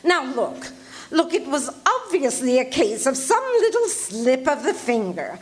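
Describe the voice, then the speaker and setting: Woman playing a high-strung demanding matron, high voice, swooping pitch, breathless, live radio play. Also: very animated, unhurried. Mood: indignant. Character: anxious demanding wealthy woman, invalid. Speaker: radio drama actor. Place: RV resort hall before a live audience.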